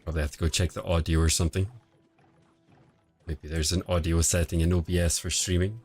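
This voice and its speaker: A man streaming casual commentary on a mobile game match, quiet and relaxed, answering chat between calls of the play. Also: fairly quick, slightly rough. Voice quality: quiet, low-level voice. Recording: home stream audio with faint music behind. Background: faint background music.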